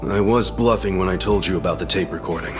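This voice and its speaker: An actor playing a plucky, streetwise hero in a video game, speaking in an earnest, familiar way. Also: male voice, deep voice, quick pace, over music, natural voice. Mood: firm, earnest. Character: plucky, loyal, plain-spoken streetwise hero, adult man. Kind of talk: English video game voice acting.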